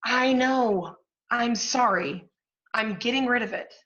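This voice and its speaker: An actress playing a guilt-ridden but pragmatic literary professional in a stage play, with a dramatic, emphatic delivery. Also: female voice, on the high side, takes it slowly, a bit bright, dull audio. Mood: apologetic. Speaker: apprentice stage actor.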